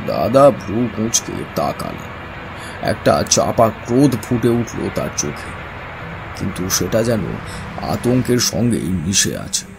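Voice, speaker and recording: A man narrating a horror story in the first person, grave, deliberate and dramatic. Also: lowish voice, sing-song, creaky, background noise. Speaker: narrator and lead character of horror audio story. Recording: music under the voice.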